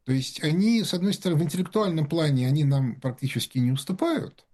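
Rambling, animated man telling stories. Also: on the high side, fast talker. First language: Russian. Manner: rambling storyteller, many fillers and restarts. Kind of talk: casual talk-show anecdotes.

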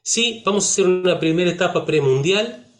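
A man from Uruguay with a Rioplatense Spanish accent, a musician talking about his album in a relaxed interview, reflective, with frequent fillers and tag questions. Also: high voice, brisk.

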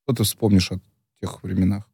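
Low, mellow-voiced male co-host. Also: little pitch movement, fairly quick, slightly rough, no room sound, clean studio sound. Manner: curious, leading questions. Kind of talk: casual interview questions.

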